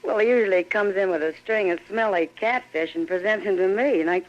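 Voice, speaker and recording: A woman's voice: mid-range voice, fairly quick, natural voice. A woman playing a wry, worldly, kindly saloon woman with an American accent in a 1950s radio western. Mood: wry, friendly. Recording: muffled vintage radio recording, faint noise.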